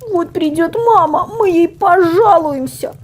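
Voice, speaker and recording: A woman's voice: very high voice, unhurried, pitched above the performer's own voice. A woman voicing naughty little goat kids from a storybook, childish, whiny and sing-song as they play innocent. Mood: whining, complaining. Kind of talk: storybook character reading.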